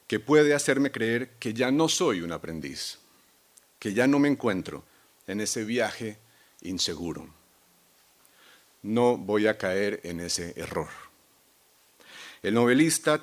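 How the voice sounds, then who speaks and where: A man with a Colombian accent, a novelist accepting a prize, speaking in a measured, reflective way with soft hesitations. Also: mid-range voice. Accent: Colombian Spanish. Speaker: award-winning novelist.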